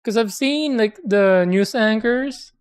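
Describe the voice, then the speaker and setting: A woman with a Filipino accent, chatting casually and giving her opinions in chatty, drawn-out asides. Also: fairly high pitch, a little mellow, smooth. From Philippines. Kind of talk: casual chat.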